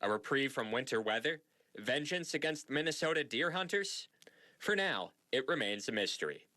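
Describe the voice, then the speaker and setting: A man's voice: medium pitch, animated, normal speed, sharp tone. A man reading a scripted TV news feature with a playful, punning delivery. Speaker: news reporter.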